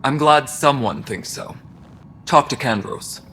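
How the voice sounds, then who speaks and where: A man's voice: mid-range voice, unhurried, fairly bright tone, a little hiss or hum, natural voice. A man voicing a worried station engineer. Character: male station engineering chief, worried and protective.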